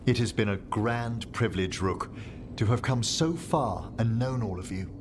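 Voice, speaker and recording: An actor voicing a courtly older professor of necromancy in a video game, speaking in formal, eloquent, philosophical phrases. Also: male voice, mid-range voice, moderate pace, background noise, close to an everyday voice. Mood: solemn, fond. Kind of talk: video game character acting.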